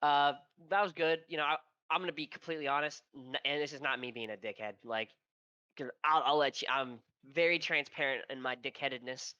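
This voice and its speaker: Male co-host, nasal and stuffy, sharp tone, dull lo-fi sound, swears and rambles. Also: a little high, brisk, smooth, no echo. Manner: profane, rambling storyteller. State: stuffy from allergies. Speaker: podcast co-host. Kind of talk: casual esports podcast chat.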